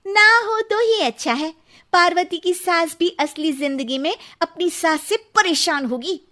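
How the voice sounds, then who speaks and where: A woman's voice: very high voice, unhurried, pushed and bright, heavily acted. A woman playing a feisty, sarcastic young daughter-in-law in a cartoon, argumentative and theatrical. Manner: theatrical, argumentative back-talk. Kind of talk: exaggerated Hindi cartoon family drama.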